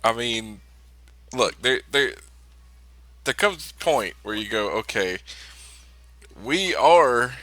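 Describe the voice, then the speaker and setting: A man's voice: medium pitch, animated, slow pace, pauses often, a bit bright, no room sound. A man playing a tabletop role-playing game in casual in-character chat, often stammering and repeating his words.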